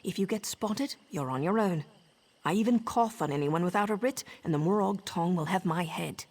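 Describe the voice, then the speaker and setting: An actress playing a poised professional assassin and mentor in a video game, with a wry, measured, self-assured delivery. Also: female voice, on the low side, a little mellow, slightly rough. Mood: businesslike.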